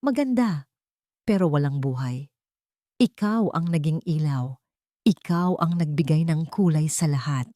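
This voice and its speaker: A woman voicing a devoted, tender man in a storybook romance, speaking in an earnest, emotional, measured way. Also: low voice, expressive, dark tone, dry recording, studio quality. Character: devoted, tender man proposing to his beloved.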